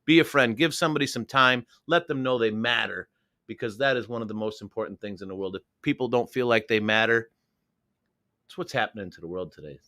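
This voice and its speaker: A man's voice: mid-range voice, fairly quick, sharp tone. A man co-hosting a live internet radio talk show, earnest and heartfelt as he gives sincere advice to listeners. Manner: earnest, speaks in sincere pep talks. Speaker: co-host. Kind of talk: casual chat.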